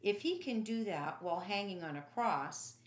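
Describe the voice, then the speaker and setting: A woman's voice: medium pitch, animated, moderate pace, roomy sound, close to an everyday voice. Woman reading as matter-of-fact Roman prison guard. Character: gruff matter-of-fact male prison guards discussing an execution.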